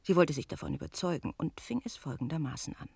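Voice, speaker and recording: A woman's voice: low voice, quick pace, vocal fry, slightly rough, no echo, exaggerated voice. An actress playing a harried, talkative female innkeeper who tells a tale theatrically in a radio play. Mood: absorbed in telling. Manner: expressive, switching between narrating and brusque replies.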